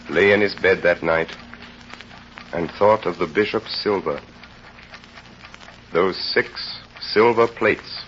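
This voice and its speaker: A man narrating an old-time radio drama in a grave, deliberate, rhetorical style. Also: sits low, a bit bright. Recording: dull, noisy old radio recording.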